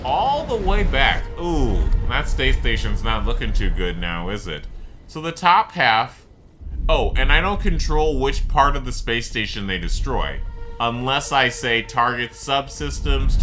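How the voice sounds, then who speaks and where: A man's voice: medium pitch, big pitch swings, slow pace, bright, forceful tone. A man giving casual, rambling video game commentary, drifting off into asides. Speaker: gameplay commentator. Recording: music behind the voice. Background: game music.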